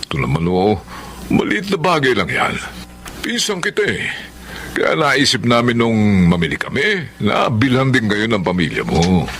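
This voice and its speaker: A man's voice: medium pitch, wildly swooping pitch, normal speed, fairly bright tone. An actor playing an affable, scheming man running for office in a Filipino radio drama, with folksy, coaxing, chatty delivery. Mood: friendly, coaxing. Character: affable, scheming male cousin running for vice mayor. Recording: faint background noise on radio drama.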